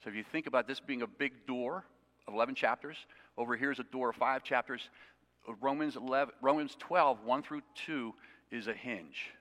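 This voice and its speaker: Male pastor, mid pitch, bright tone, teaching sermon, reverberant sanctuary sound. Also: normal speed. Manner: conversational, self-deprecating asides. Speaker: preacher. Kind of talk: expository sermon. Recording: long reverb of a hall.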